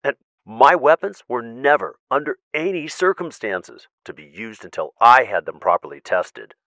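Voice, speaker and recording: An actor playing a cautious, principled weapon inventor in an audio drama, measured and technical, emphatic when he objects. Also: mid-range voice, sing-song, sharp tone, no room sound. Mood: worried, frustrated.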